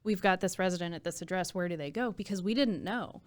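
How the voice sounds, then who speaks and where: A woman with an American accent and a smooth, resonant voice, pitched a little low. She talks in an earnest, conversational way, like an interview guest recounting her work with admiration for her colleagues. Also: fast talker. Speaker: emergency dispatch supervisor and trainer, podcast guest. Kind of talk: casual interview storytelling.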